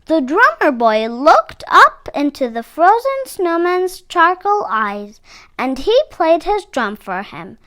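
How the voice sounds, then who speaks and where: Slow, high-voiced woman reading a storybook. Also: big pitch swings, a bit bright. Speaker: read-aloud storyteller for children. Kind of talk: expressive picture-book narration.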